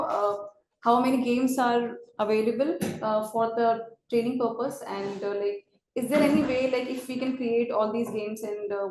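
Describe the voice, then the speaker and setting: A woman moderating a live webinar, casually relaying audience questions in a halting, filler-heavy way. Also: a little high, a little mellow. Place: indoor room, webinar call. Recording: echoey room with faint background noise.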